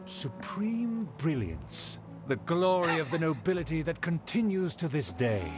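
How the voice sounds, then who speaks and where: Snobbish middle-aged nobleman, pompous, formal, slightly high voice, game music behind. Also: huge pitch swings, measured pace. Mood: haughty.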